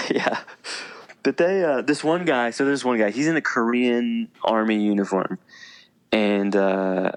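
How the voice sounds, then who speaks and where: A man's voice: mid-range voice, a little slow, clear, resonant tone. A man in his thirties, a podcast guest, telling stories in easy casual chat with frequent fillers and laughs. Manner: relaxed storyteller, laughs often, many fillers. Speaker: podcast guest, veteran and teacher.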